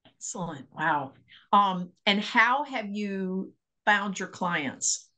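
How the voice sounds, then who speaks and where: A woman's voice: mid-range voice, animated, takes it slowly, sharp tone. A woman hosting an interview, upbeat and encouraging, asking short questions. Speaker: interview host. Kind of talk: casual interview chat.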